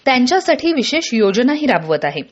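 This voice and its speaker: A woman reading scripted radio news in a formal, measured way. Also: sits high, sing-song, highs cut off. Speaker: newsreel presenter.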